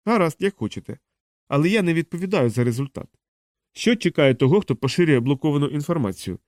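A man's voice: a little high, animated, fast, darkish tone, dry recording, pitched above the performer's own voice, lightly acted. Cautious male cyberneticist for audiobook, read by a man, questioning, doubtful, dry close sound. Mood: probing.

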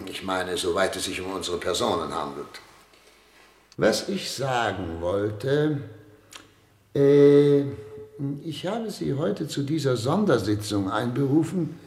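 An actor playing a pompous, fretful head of state in a German radio play, speaking in an oratorical, theatrical way. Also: male voice, mid-range voice. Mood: grave, self-important. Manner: pompous, oratorical, theatrical throat-clearing and pauses. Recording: roomy, reverberant radio studio sound.

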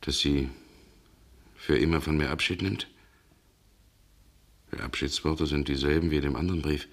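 An actor playing a brooding, haunted Russian gentleman in a German radio drama, speaking gravely and haltingly. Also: very deep voice, fairly even intonation. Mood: shaken, solemn. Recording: 1960 radio recording, some background noise.

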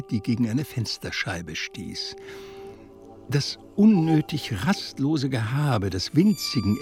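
An actor playing the storyteller of a spy tale, reading literary narration in a radio drama. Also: male voice, mid-range voice, darkish tone.

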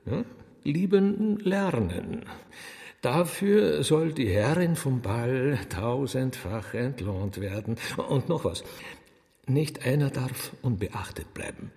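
A fussy, wheedling demon courtier in a radio play, ringing in a long hall. The pitch swoops about, creaky at the edges, with mannered little hums. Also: male voice, a little mellow. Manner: mannered, hesitant humming, ingratiating. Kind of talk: German radio drama acting.

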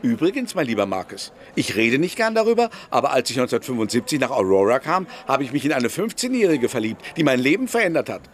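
A man's voice: medium pitch, sing-song, fast talker, few pauses. An actor playing an anguished, proud novelist in his sixties in a German radio drama. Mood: defensive. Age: 60s. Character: anguished, proud elderly male novelist accused of murder.

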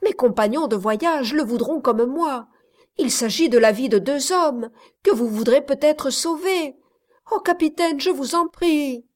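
A woman's voice: high-pitched, expressive, very slow, few pauses, dark tone, pitched above the performer's own voice, heavily acted. Woman reading as an ardent, pleading young lady. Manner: pleading, urgent, exclamatory.

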